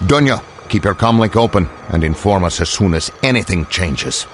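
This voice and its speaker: A man's voice: deep voice, animated, moderate pace, soft background music. An actor playing a stern, authoritative male commanding officer in a video game, with concern for the agent under his command. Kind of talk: video game cutscene acting.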